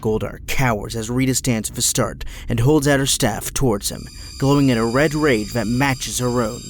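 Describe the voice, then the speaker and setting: A man's voice: medium pitch, moderate pace, slight background noise. A man narrating an audio drama in a scripted, dramatic storytelling style.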